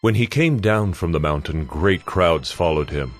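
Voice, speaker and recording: A man's voice: sits low, expressive, moderate pace, faint music behind. A man narrating scripture from a script in an audio drama.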